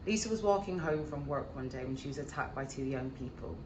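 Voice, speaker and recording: A woman's voice: very deep voice, animated, a little fast. A young woman giving a read-out introduction to camera as an interviewer. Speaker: development officer, interviewer. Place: room indoors, plain wall. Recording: echoey room with noise.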